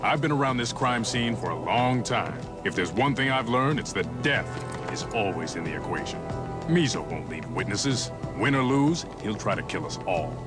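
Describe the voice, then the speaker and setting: An actor playing a seasoned, grim underworld veteran in a video game, grave and warning. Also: male voice, on the low side, lively intonation, normal speed, over music, natural voice.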